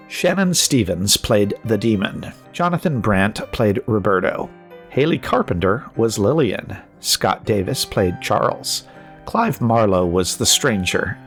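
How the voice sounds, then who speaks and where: A man announcer reading scripted closing credits for a podcast. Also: fairly low pitch. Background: faint guitar music.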